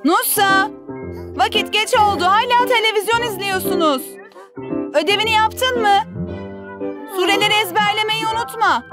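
High-voiced, patient but firm cartoon mother. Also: moderate pace, long pauses, loud music behind the voice. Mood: reminding. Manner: instructive, gently admonishing. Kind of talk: dubbed children's cartoon acting.

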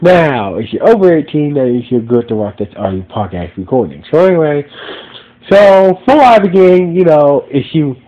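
A man's voice: a little high, lively intonation, moderate pace, highs cut off. A man with a stuffy nose hosting a podcast, recapping a TV episode in a rambling, repetitive way with many restarts. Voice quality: nasal. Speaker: reviewer and podcast host. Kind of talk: casual spoken episode recap.

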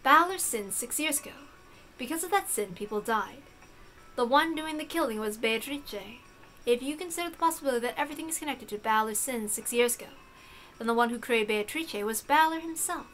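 A woman voicing an aloof, analytical female witch in a visual novel, in a measured, formal and deliberate way. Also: high-pitched, lively intonation, a bit bright, faint music behind. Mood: pondering. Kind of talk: visual novel character reading.

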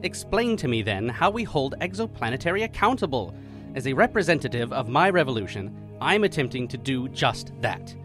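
Man voicing a spider alien rebel, super lively intonation, earnest n formal, music behind, radio play. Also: mid-range voice, a little fast, close to an everyday voice. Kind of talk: audio drama panel discussion.

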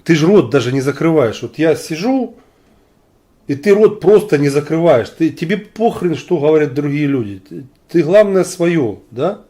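A man's voice: on the high side, animated, moderate pace, warm tone. A man from Ukraine arguing on a video chat stream, blunt and mocking with sarcastic asides. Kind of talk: argumentative online video chat.

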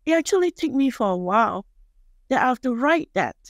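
A woman in her forties from Malaysia with a Malaysian Chinese accent, a native Chinese speaker, talking casually and haltingly as a podcast guest on a close studio mic. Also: high-pitched, very animated, no echo. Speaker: guest chef and culinary instructor. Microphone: close studio microphone on boom arm.

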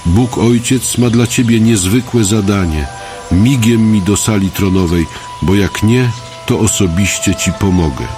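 A man's voice: fairly low pitch, measured pace, music in the background, close to an everyday voice. A man acting a stern, bossy senior angel who scolds and commands in an audio drama. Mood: scolding, bossy.

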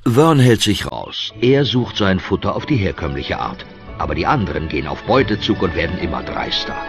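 A man with a very low voice narrates a story for children. His delivery is dramatic and swings widely in pitch, with music playing behind him. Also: sing-song, normal speed. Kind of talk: children's audio play narration.

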